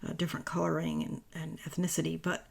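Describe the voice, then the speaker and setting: A woman with a raspy, worn-out voice, chatting her way through a papercraft tutorial voice-over in a rambling, self-correcting way. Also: low-pitched, completely flat delivery, quick pace. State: voice worn from talking all day.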